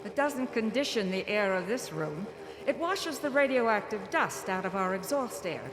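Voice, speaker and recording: A woman's voice: mid-range voice, animated, normal speed, crowd noise, natural voice. A woman acting a determined, outspoken, testy research doctor in a live audio drama. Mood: cooperative. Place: live stage performance in a large hall. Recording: echoey live hall, background noise.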